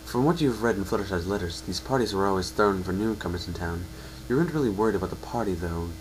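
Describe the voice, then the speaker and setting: Low-voiced man narrating a story, faint hum. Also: poor audio. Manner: reads with stumbles and self-corrections. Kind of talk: second-person story narration, dramatic reading. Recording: home recording with faint hum.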